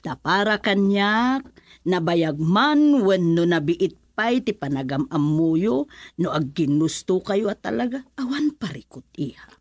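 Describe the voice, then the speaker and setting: A woman's voice: on the low side, sing-song, measured pace, no echo, clean studio sound. A woman acting a concerned, middle-aged auntie who gives motherly advice in a radio drama. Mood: gently probing. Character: concerned, motherly auntie advising her niece.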